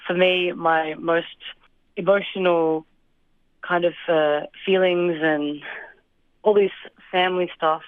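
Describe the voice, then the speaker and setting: A young woman from Australia answering interview questions in a thoughtful, hesitant way, with fillers and soft laughs. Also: on the low side, fairly even intonation, takes it slowly, long pauses, clean tone, no echo. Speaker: interview guest. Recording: dry, phone-like line.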